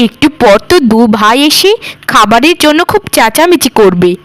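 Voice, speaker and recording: Woman acting a high-pitched, plaintive village sister-in-law. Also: big pitch swings, moderate pace, no room sound. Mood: weary, plaintive. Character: weary, aggrieved village sisters-in-law, adult women. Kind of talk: cartoon voice acting, melodramatic dialogue.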